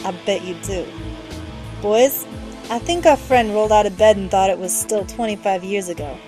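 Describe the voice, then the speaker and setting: An actress playing a tough young street gang leader, commanding and sardonic, in an audio drama. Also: female voice, medium pitch, moderate pace, warm tone, soft background music, close to an everyday voice. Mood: mocking.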